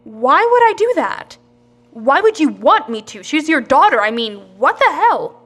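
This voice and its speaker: An actress playing a tough, pragmatic survivor in an audio drama, speaking bluntly and firmly in clipped phrases. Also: female voice, high voice, big pitch swings, a little slow, fairly bright tone, full character voice. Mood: indignant.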